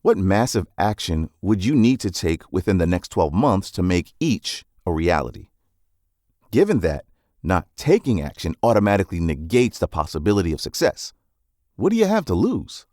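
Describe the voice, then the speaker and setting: A man reading a scripted self-help audiobook summary in a measured, articulate, instructive way. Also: deep voice, sing-song, darkish tone, dry recording, clean studio sound.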